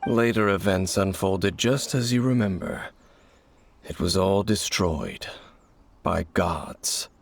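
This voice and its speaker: A man voicing a mysterious swordsman in a video game, speaking in a calm, solemn and measured way. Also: low-pitched, a little hiss or hum. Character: mysterious grave male swordsman who knows ancient history. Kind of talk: video game cutscene voice acting. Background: game background music.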